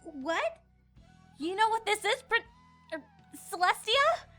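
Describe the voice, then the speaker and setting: Casting: woman as flustered young cartoon pony princess, eager student, very high and wildly animated. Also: takes it slowly, faint music behind, exaggerated voice. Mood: flustered, curious. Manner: hesitant, self-correcting, excitable. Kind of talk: cartoon-style fan fiction dramatic reading.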